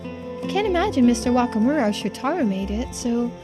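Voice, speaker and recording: A woman's voice: fairly high pitch, lively intonation, a little fast, music in the background, close to an everyday voice. A woman voicing an anxious, earnest young woman from a visual novel, sweet but stubborn and often hesitant. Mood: gentle, chatty.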